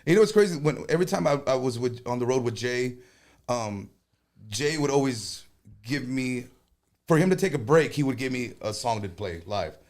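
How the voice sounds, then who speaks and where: Animated man in chat. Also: medium pitch. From Texas. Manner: animated storyteller, quick bursts, joking. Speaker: conjunto band leader and singer, guest. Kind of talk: casual interview chat.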